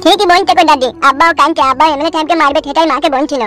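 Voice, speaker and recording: A woman's voice: high voice, rapid-fire, bright, forceful tone, faint music behind. A woman voicing a small village child who eagerly tells tales to the grandmother.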